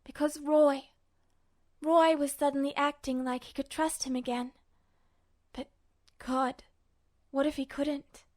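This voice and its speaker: A woman's voice: sits high, flat delivery, takes it slowly, darkish tone, dry recording, studio quality. A woman narrating fiction in a dramatic, emotive style, like an audiobook reader. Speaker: podfic reader.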